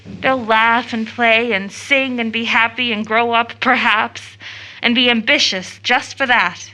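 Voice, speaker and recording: An actress playing an earnest, idealistic young daughter in a stage-style audio drama, speaking with emphatic, declamatory feeling. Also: female voice, fairly high pitch, fairly even intonation, measured pace, pushed and bright, low-quality recording. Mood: troubled, building.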